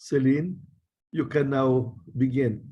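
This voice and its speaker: A man, a priest, moderating an online session over a video call with calm, unhurried cues. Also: medium pitch, slightly rough. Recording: dull lo-fi video call audio.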